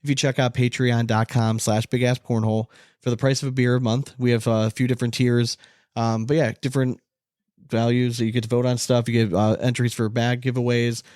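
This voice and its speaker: A man's voice: mid-range voice, little pitch movement, a little fast, darkish tone, dry recording, studio quality. A man co-hosting a podcast in casual, informal chat, loosely swearing here and there. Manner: informal, lightly profane. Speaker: podcast co-host.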